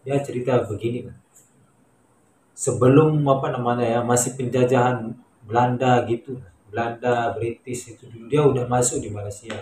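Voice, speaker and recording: A man's voice: mid-range voice, steady tone, moderate pace, mellow. A man with an Indonesian accent chatting casually to viewers in an emphatic, colloquial, repetitive way. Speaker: vlogger, Indonesian migrant worker in Malaysia. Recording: echoey room with faint noise.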